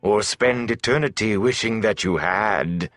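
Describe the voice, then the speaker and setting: A man voicing a sleek, self-important, menacing servant of a dark lord in an audiobook, drawing out words to coax and command. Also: fairly low pitch, no room sound, studio quality, voice pushed up. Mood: commanding, impatient. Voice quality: sleek, thick. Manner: drawn-out, coaxing then commanding. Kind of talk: audiobook villain dialogue.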